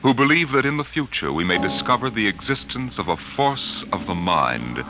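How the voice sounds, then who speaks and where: Deep, solemn American radio announcer, male. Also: sing-song, normal speed. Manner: solemn, portentous. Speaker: announcer, narrator. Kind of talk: dramatic 1950s radio announcing, scripted narration. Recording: vintage radio broadcast over music.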